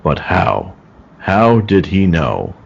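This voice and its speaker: An actor playing a serious, baffled police detective in an old-time radio play, speaking in a grave, deliberate way. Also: male voice, sits low, sing-song, vocal fry, slightly rough, heavily acted. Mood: baffled, brooding. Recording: dull, lo-fi call audio.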